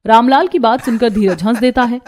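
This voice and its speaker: A woman's voice: fairly high pitch, brisk, darkish tone. A woman narrating a scripted cartoon story as its storyteller.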